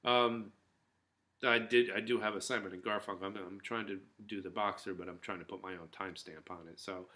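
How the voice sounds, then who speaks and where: A man's voice: lowish voice, steady tone, a little fast, pushed and bright. A middle-aged man rambling casually to his viewers, loose and full of filler words and restarts. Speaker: vlogger.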